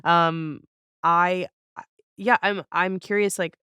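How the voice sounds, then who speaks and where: A woman's voice: on the low side, fairly even intonation, slow pace, bright, forceful tone, smooth, no echo, highs cut off. A woman hosting a casual interview, asking questions in a curious, informal, tentative way. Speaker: podcast host, interviewer.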